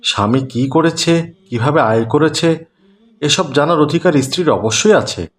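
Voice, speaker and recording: A man's voice: a little high, lively intonation, normal speed, natural voice. A man voicing an upright, moralising father in a cartoon. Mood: admonishing. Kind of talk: Bangla cartoon dubbing.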